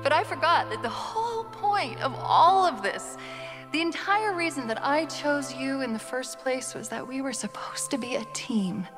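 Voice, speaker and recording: A quick-witted female AI companion talks in a fairly high voice. She is expressive and emotional, conversational in game cutscene style, with music behind her. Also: long reverb tail. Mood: tender, earnest. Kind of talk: video game cutscene acting.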